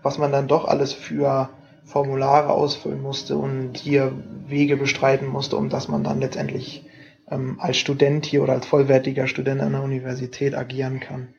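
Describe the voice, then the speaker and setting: A young man co-hosting a casual podcast, halting, with many fillers and restarts, and a husky voice. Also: mid-range voice, monotone. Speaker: podcast co-host. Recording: dull lo-fi home podcast recording.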